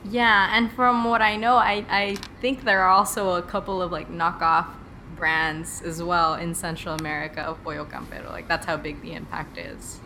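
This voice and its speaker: Bright-voiced woman interviewer. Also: fairly low pitch, flat delivery, moderate pace, hardly any pauses, slight background noise. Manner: casual, questioning, with fillers. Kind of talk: interview questions.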